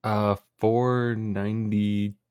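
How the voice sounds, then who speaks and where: A man's voice: on the low side, flat delivery, slow pace, darkish tone, clean tone, no room sound, studio quality. A young man, a streamer guest on a podcast, talking casually with easy laughs and rambling restarts. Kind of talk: casual interview chat.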